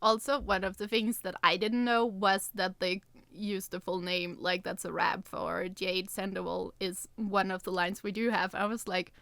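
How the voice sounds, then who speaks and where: A woman with a mid-pitched voice chats as a podcast co-host. Her delivery is informal and conversational, and the sound is dry and close on the microphone. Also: flat delivery, moderate pace, hardly any pauses, a bit bright, no echo. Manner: informal, swears casually. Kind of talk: casual chat.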